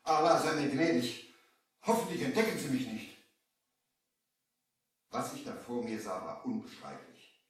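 A man's voice: mid-range voice, big pitch swings, a little slow, long pauses. A male actor playing a shaken merchant who dramatically narrates an eerie sea tale in a 1970s children's adventure radio play. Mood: awed, fearful. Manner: dramatic, storytelling. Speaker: narrator and lead in an audio drama. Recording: echoey, distant 1970s vinyl record.

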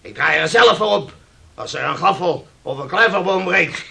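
Haughty male shipowner, radio drama; scolding, highish forceful voice with a gravelly edge. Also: animated, moderate pace, heavily acted. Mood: lecturing. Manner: lecturing, indignant, scolding. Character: haughty, hard-nosed shipowner, a man of authority. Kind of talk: Dutch radio play acting.

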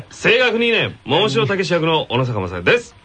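A man as a radio show guest, chatting comically and clowning with mock gruffness. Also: high-pitched, wildly swooping pitch, measured pace, sharp tone. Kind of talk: casual comedic radio chat.

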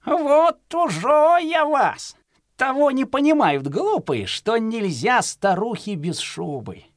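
Drawling old peasant grandpa, wildly swooping voice. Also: male voice, very high voice, big pitch swings, dry recording, clean studio sound, exaggerated voice. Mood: grumbling. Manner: folksy, drawn-out exclamations, muttering to himself. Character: stooped old peasant hunter, grumbling but kindly grandfather. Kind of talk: children's audio play acting.

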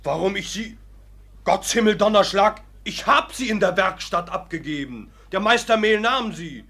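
An actor playing a hot-headed young farmhand in a 1950s radio play, blustering and defiant, his voice husky. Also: high voice, animated, normal speed, pushed and bright, exaggerated voice. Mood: outraged.

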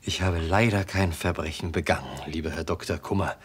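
An actor playing an affable, unsuspecting travelling salesman in his forties in a German radio play, with a husky voice and a bluff, easygoing manner. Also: low-pitched, steady tone, fairly quick, slight background noise, close to an everyday voice. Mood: amused, affable. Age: 40s. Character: affable, naive forty-year-old travelling salesman, amused, unsuspecting.

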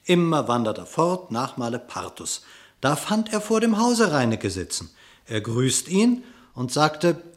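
A man narrating a classic radio play in verse, with theatrical, measured declamation. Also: on the high side, huge pitch swings.

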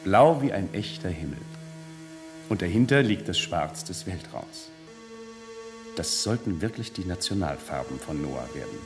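A coolly cynical insider of a space colony. The voice is deep and the delivery deliberate and self-assured, in 1970s radio drama style. Music plays faintly behind it. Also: male voice, animated. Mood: proud. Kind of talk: 1970s German radio play acting.

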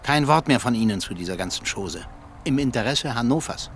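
A man playing an old statesman in a radio play, stiff and commanding. His mid-pitched voice is clipped and threatening, over faint outdoor ambience. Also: lively intonation, fairly quick, fairly bright tone, slight background noise, lightly acted. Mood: imperious, threatening. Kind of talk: radio drama acting.

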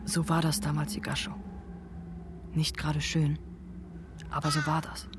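Slow, hushed, lonely wanderer played by a man. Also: fairly high pitch, completely flat delivery, very noisy, close to an everyday voice. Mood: melancholy, reflective. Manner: hushed, pausing, reflective. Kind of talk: German radio drama monologue. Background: music with animal and insect sounds.

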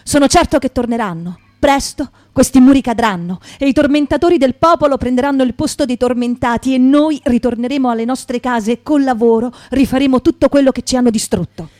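A woman's voice: high-pitched, a little fast, hardly any pauses, a little mellow, no room sound, clean studio sound, lightly acted. A woman playing a proud, defiant old peasant father in a radio theatre monologue, plain and resolute.

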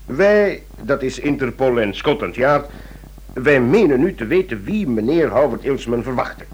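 An actor playing a self-assured British investigator in a radio drama, recounting a case with eager, deliberate relish. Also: male voice, mid-range voice, very animated, husky. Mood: eager, teasing.

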